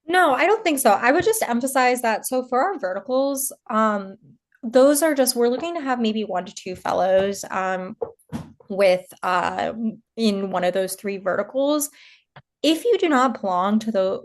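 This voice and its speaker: A woman co-presenting on an informational call, explaining and clarifying informally in halting, conversational phrases. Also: mid-range voice. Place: online group call.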